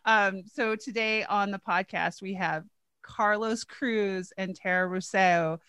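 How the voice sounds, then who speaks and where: A woman's voice: mid-range voice, fairly even intonation, slow pace, fairly bright tone, clean tone, dry recording. A woman co-hosting a podcast in a chatty, friendly and enthusiastic interview style. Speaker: podcast co-host.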